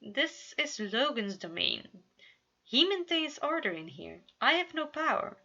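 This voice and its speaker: A woman's voice: sits high, very animated, unhurried, creaky, bright, forceful tone, lo-fi sound, voice pushed up, full character voice. A woman voicing a dreamy, theatrical young prince in love, in a story reading. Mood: huffy. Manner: theatrical, sighing.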